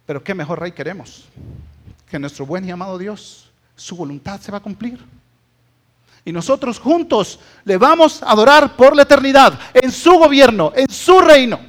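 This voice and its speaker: High-voiced Guatemalan pastor preaching a sermon. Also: male voice, lively intonation, normal speed, fairly bright tone. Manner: emphatic, exhortative, with rhetorical tag questions.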